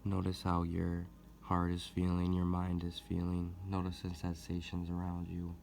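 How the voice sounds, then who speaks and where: A man leading a guided meditation as host, speaking gently and deliberately with long pauses. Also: low-pitched, monotone, creaky, slight background noise. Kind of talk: guided meditation, soothing instruction.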